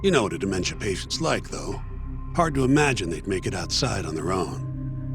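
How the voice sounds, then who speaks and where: An actor playing a gruff, cynical male police detective who talks in a sarcastic, crude, dismissive way, in a game scene with music behind. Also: medium pitch, close to an everyday voice. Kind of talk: game dub acting.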